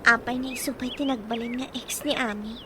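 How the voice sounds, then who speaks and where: An actress with an Ilocano accent playing a curious, excitable young woman in a radio drama. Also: fairly high pitch, brisk, background noise, close to an everyday voice.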